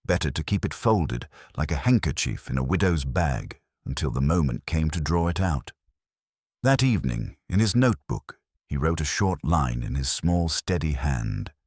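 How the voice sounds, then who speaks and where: A man narrates a detective story in a very deep voice. His delivery is deliberate and literary, yet the pitch moves in a lively way. The tone has some huskiness. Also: breathy edge, dry recording, clean studio sound. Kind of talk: scripted audio mystery narration.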